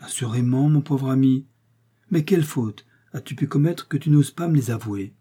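A man's voice: mid-range voice, moderate pace, warm tone, slightly rough, lightly acted. A man voicing an anxious, loving middle-aged wife who pleads tenderly with her husband. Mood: alarmed.

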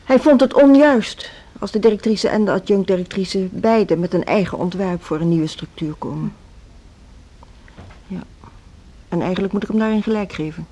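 An actress playing a seasoned, resigned music school headmistress in a radio drama, composed and patronising. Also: female voice, medium pitch, normal speed, mellow, lightly acted. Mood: conciliatory. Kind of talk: Dutch social radio drama acting.

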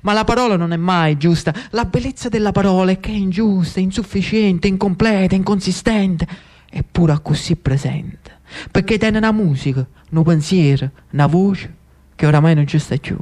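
A male storyteller with a strong Neapolitan accent and a high-pitched voice. He performs a theatrical narrative monologue, lyrical and dramatic, breaking it into short repeated phrases with pauses. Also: darkish tone. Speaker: actor-storyteller.

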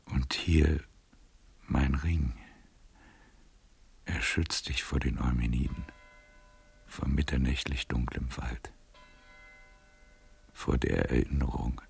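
An actor playing a frail, weary old nobleman in a poetic radio drama, tender and melancholy, with a breathy, gravelly voice and a slow, hushed, solemn delivery. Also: extremely low pitch, steady tone, faint music behind, exaggerated voice. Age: older.

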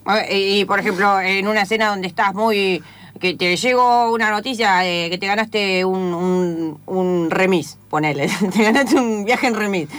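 Woman doing a pompous argentine acting coach guy, halting, bright voice, radio comedy. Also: mid-range voice, smooth, lightly acted. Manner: halting, self-important. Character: pretentious, absurd male Argentine acting teacher. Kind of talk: improvised comic radio play.

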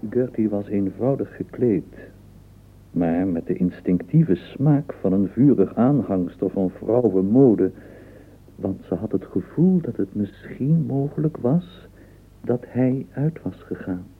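A man narrating a radio drama as a florid storyteller, in a measured, gravelly voice. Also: fairly low pitch, lively intonation, slight background noise, exaggerated voice. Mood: measured, admiring. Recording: narrow, phone-like radio play recording.

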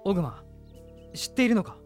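A man playing a noble, resolute young prince in a Japanese radio drama, speaking in an earnest, measured way. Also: high-pitched, big pitch swings, a little mellow, soft background music.

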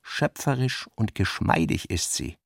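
A man's voice: low-pitched, very animated, unhurried, vocal fry, husky, no room sound, studio quality, heavily acted. A male narrator voicing an earnest middle-aged businessman father, who argues insistently and rhetorically with his daughter in a literary radio drama. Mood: persuasive.

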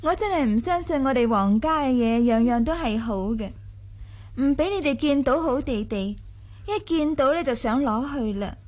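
High-voiced, commanding young mistress. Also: female voice, expressive, normal speed, a little mellow. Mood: teasing. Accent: Cantonese. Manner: imperious, smoothly sociable. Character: young, commanding, shrewd mistress of a rich household. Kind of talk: Cantonese radio drama acting.

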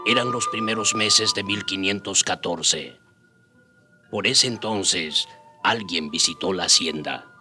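A male narrator with a very low voice tells a scripted radio drama story. Music plays clearly behind him. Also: slow pace. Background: clear background music.

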